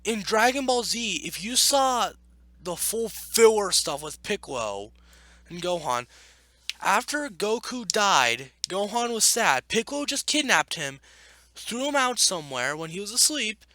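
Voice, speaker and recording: Animated, high-voiced man in exasperated commentary. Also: measured pace, no echo. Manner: exasperated, argumentative. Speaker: YouTube commentator. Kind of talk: casual reaction commentary while reading.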